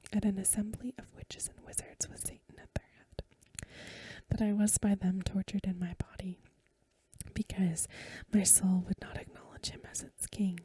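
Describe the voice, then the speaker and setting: A woman reading aloud in a hushed, measured, breathy whisper, in the soft-spoken style of a bedtime ASMR recording. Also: medium pitch, dry recording, low-quality recording.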